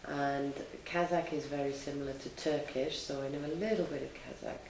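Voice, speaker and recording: A woman in her thirties answers interview questions calmly and thoughtfully, and her voice carries a gravelly edge. She sounds a little distant from the mic in a reverberant room, with faint hiss underneath. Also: a bass voice. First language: English. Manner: calm, measured, thoughtful. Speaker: teacher.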